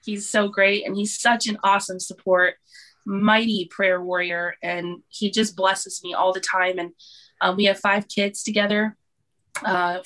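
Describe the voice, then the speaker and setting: Podcast guest: woman in her thirties from Hawaii, mid pitch, halting and full of ums. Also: a bit bright, poor audio. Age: 30s. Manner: hesitant, many ums and restarts. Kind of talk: casual interview.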